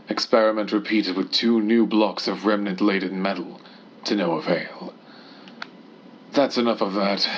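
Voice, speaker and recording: A man playing a cold, scheming scientist who dictates secret experiment logs in a measured, disdainful, clinical manner, as an audio horror monologue. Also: fairly low pitch, fairly bright tone, breathy edge. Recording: dull, muffled lo-fi tape-style recording.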